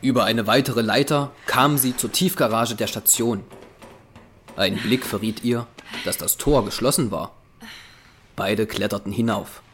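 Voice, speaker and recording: A man's voice: mid-range voice, a little slow, a bit bright, slight background noise. A man narrating an audio drama from a script.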